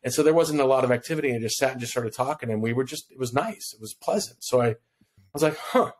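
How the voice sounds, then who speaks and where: Relaxed man telling a story. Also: mid-range voice, fast talker. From Hawaii. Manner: relaxed, rambling storyteller who acts out quotes. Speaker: guest. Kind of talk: personal storytelling in an interview. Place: home room on video call. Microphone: podcast mic in front of him.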